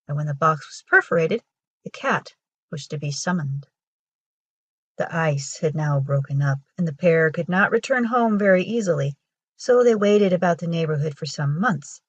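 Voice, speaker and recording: A woman with a husky voice reading a children's folktale aloud as a storyteller. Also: lowish voice, no echo. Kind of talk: scripted storybook narration for children.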